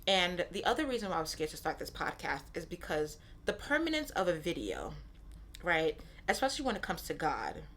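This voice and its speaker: A woman's voice: on the low side, huge pitch swings, brisk, fairly bright tone. A woman in her thirties, born in Nigeria and raised in Brooklyn, hosting her own podcast in a candid, casual way, often repeating herself and adding "right?". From born in Nigeria, raised in Brooklyn, New York. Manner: candid, repeats phrases, frequent "right?" asides. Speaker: podcast host. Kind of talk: casual solo podcast talk.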